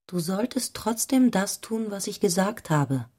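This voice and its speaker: An actress playing a stubborn, intense, strange young wife in a literary radio drama, speaking insistently. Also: female voice, mid-range voice, animated, a little slow, mellow.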